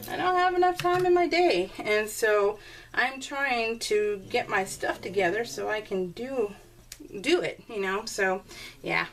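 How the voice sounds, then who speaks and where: A middle-aged woman chatting casually and telling animated, giggly stories while she works on a scrapbooking project. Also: a little high. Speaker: scrapbooking vlogger. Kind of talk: casual storytelling while crafting.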